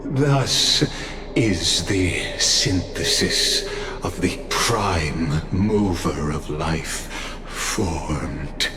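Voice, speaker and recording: An actor voicing an ominous, grandiose male cosmic observer in a game cutscene, speaking slowly and deliberately. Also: deep voice, animated, noisy background, exaggerated voice. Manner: slow, grandiose, deliberate delivery.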